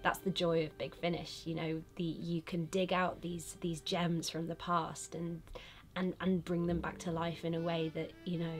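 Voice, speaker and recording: A woman's voice: on the low side, little pitch movement, moderate pace. A young British woman, an actress, chatting casually in an interview. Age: young adult. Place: studio room, indoors. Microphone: lapel mic. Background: music.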